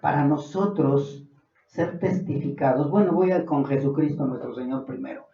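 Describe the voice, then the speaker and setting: A Mexican man preaches, his voice sitting fairly high and turning earnest and fervent as he presses a point. He is heard from a distance in a roomy church hall, and the recording sounds dull and muffled. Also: normal speed, dark tone. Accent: Mexican Spanish. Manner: earnest, rising to fervent emphasis. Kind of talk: Bible study sermon. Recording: distant, dull, echoey room.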